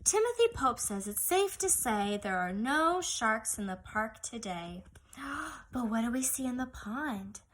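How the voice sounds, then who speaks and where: A woman's voice: fairly high pitch, sing-song, measured pace, fairly bright tone. A woman teacher reading aloud to young children in an exaggerated, singsong storytelling manner, often putting questions to them.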